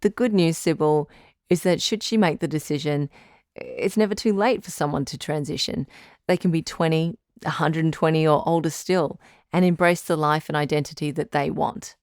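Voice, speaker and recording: A woman playing a calm doctor who hosts a radio advice show in an audio drama, measured, articulate and reassuring. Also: low-pitched, dry recording, clean studio sound. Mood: measured, supportive. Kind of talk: scripted audio drama as radio call-in host.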